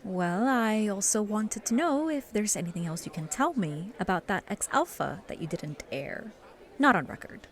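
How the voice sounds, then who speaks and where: An actress playing a confident, wry investigative journalist. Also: female voice, mid-range voice, sing-song, normal speed.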